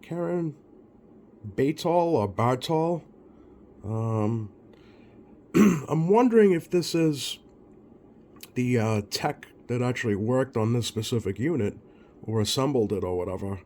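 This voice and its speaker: A man's voice: medium pitch, animated, slow, long pauses, a little mellow, a little hiss or hum. A man giving casual, off-the-cuff narration as a repair technician, thinking aloud in a hesitant way. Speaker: radio repair technician hosting a bench video.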